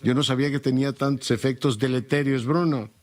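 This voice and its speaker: A man's voice: medium pitch, fairly even intonation, a little fast, darkish tone. Formal, courteous male interview host. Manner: formal, courteous, elaborate phrasing. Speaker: radio host.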